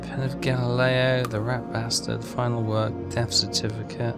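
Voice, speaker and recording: A man's voice: mid-range voice, flat delivery, moderate pace, loud music behind the voice. A man hosting a game playthrough, casually thinking aloud and reading bits of on-screen text as he works things out.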